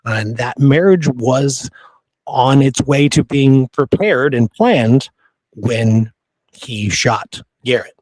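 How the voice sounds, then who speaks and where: A man's voice: medium pitch, expressive, slow pace, a little mellow, no room sound, low-quality recording. A man hosting a podcast, talking through history in an informal, conversational way. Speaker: podcast host. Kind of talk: casual history discussion.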